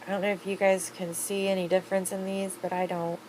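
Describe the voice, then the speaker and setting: A woman's voice: medium pitch, flat delivery, brisk, a little mellow, slight background noise, low-quality recording. Chatty upbeat woman reviewing products. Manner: chatty, upbeat, rambling with fillers. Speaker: beauty vlogger.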